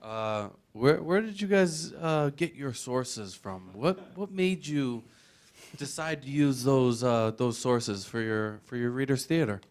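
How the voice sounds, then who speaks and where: A man in an audience asking an unscripted question in a halting, hesitant way. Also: mid-range voice, animated. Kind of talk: spontaneous question. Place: audience at college library event.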